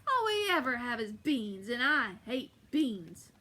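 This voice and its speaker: A woman voicing a grumpy, hungry male giant who booms his complaints loudly. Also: big pitch swings, a little slow, full character voice. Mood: grumbling.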